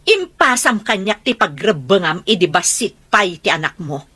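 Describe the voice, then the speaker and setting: A woman's voice: mid-range voice, very animated, moderate pace, pushed and bright, husky, exaggerated voice. An actress playing an indignant mother fighting for her child in an Ilocano radio drama, confrontational and emphatic.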